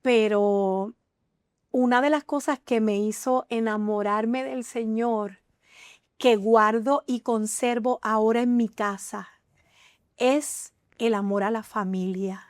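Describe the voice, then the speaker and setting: Earnest woman sharing her testimony, somewhat high. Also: fairly even intonation, slow pace, darkish tone, no echo, clean studio sound. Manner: emotional, earnest, hesitant with many fillers. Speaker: guest. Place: TV studio.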